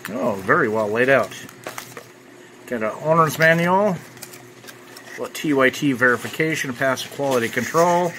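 A man's voice: medium pitch, lively intonation, a little slow, pauses often, background noise. A man giving casual, off-the-cuff unboxing commentary as a product reviewer. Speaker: ham radio reviewer.

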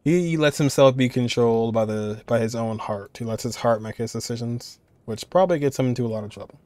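A man's voice: medium pitch, brisk, a little mellow, no room sound. Casual young man with a dry, close sound. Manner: informal, musing, thinking aloud. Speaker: reaction video host. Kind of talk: casual game reaction commentary.

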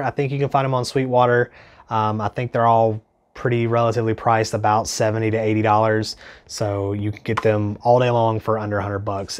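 A young man whose voice sounds stuffy and congested from a cold talks straight to the camera in a small home studio. His review is casual and earnest, sprinkled with ums and little restarts, pitched in an ordinary middle range for a man. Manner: chatty, filler-heavy, earnest. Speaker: gear reviewer.

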